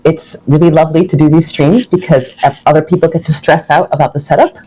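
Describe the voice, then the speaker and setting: A man with a slightly higher voice who speaks as a community manager on a livestream panel. He is friendly and chatty, with some fillers. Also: steady tone, quick pace, warm tone, slightly rough, poor audio.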